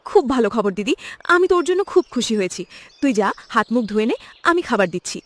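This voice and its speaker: A woman's voice: fairly high pitch, very animated, fast. A woman voicing a jealous, greedy young village girl in a cartoon, in a dramatic, emphatic manner. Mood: scheming, resentful. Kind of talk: cartoon voice acting. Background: background music.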